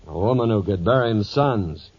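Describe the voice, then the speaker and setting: Vengeful older cattle baron, American, blunt and commanding, low register, muffled old radio drama sound. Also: male voice, lively intonation, moderate pace, darkish tone, husky. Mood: bitter. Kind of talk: 1940s radio western acting. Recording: muffled 1940s radio broadcast recording.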